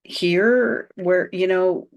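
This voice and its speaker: A woman's voice: on the low side, drawn-out words, creaky. A woman co-hosting a podcast, a mother speaking in an earnest, heartfelt way. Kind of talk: candid conversational chat.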